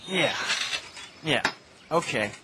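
A man's voice: on the high side, lively intonation, takes it slowly. An actor playing a skeptical, laconic American man with a dry, hesitant, understated manner in an audio drama. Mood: bemused. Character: skeptical, laconic man humoring an eccentric friend. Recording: noisy outdoor ambience with birdsong.

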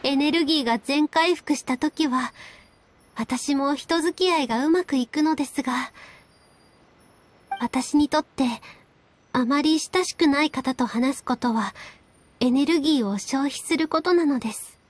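A woman voicing a gentle, weary young priestess and strategist in a video game, speaking softly with polite, formal phrasing. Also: sits high, unhurried. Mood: tired, explaining. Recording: background music under voice.

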